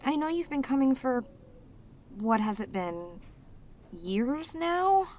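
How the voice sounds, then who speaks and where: A woman voicing a lonely, clingy, sweet female demon in an ASMR audio roleplay, with an earnest, halting, pleading manner. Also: high voice, sing-song, darkish tone, slight background noise, heavily acted. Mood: anxious, wistful.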